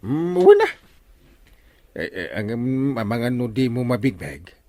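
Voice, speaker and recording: A stammering village uncle from an Ilocano radio comedy. His pitch leaps around with each exclamation, and the recording is dry, with no room sound. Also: sing-song, unhurried, warm tone, dry recording. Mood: flustered. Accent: Ilocano Filipino. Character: village uncle, stuttering comic authority figure.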